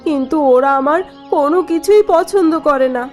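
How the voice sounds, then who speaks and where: A woman voicing a gentle young new bride in a cartoon story, speaking softly and politely. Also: sits high, normal speed, soft background music, lightly acted. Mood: dutiful. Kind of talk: cartoon voice acting.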